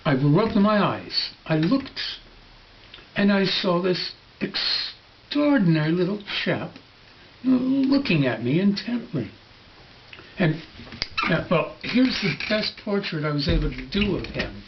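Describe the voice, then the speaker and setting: A man reading a storybook dramatically, in a halting, musing, stammering manner. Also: high-pitched, sing-song. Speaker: storybook reader. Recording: dull home recording with faint hiss.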